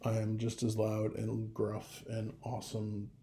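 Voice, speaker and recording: A young man telling a personal story in a casual vlog, rambling and animated, with a gravelly voice. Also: lowish voice, unhurried. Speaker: vlogger.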